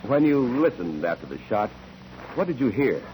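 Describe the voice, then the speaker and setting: An actor playing a probing courtroom examiner in an old-time radio drama, deliberate, courteous and in command. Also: male voice, medium pitch, very animated, darkish tone, lightly acted. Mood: probing, controlled. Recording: noisy old radio recording.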